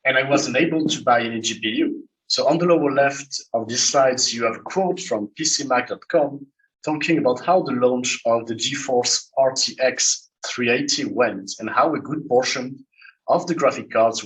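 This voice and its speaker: A man with a French accent presents a security talk at a conference. He walks through his slides in a plain, explanatory way, with the occasional "uh". His voice sits at an ordinary male pitch. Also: a bit bright, low-quality recording. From France. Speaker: conference speaker. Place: conference talk, remote stream.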